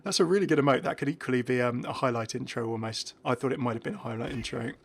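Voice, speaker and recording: Male gaming host, medium pitch, chatty off-the-cuff commentary, thinks out loud as he goes. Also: fast talker. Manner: chatty, musing aloud, breaking off mid-thought. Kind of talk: casual unscripted commentary on game content.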